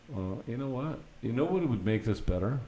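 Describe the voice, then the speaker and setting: A man's voice: mid-range voice, dark tone, slight background noise. A man hosting a podcast, rambling casually and irreverently, swearing freely and poking fun at himself. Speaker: podcast and radio host. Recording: music playing behind the voice. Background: show theme song.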